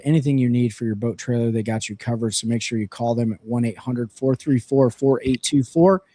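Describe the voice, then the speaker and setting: A man co-hosting a fishing livestream, his voice hoarse and worn out, talking in an energetic, rambling way full of fillers as he runs through sponsor plugs and lake reports. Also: medium pitch, dry recording. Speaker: fishing show co-host. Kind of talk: casual livestream chat with sponsor reads. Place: region of central North Carolina.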